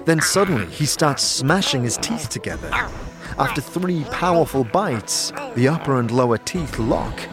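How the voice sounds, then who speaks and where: Guy narrating a fantasy audio drama, medium pitch, scripted storytelling, music playing behind. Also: lively intonation, moderate pace.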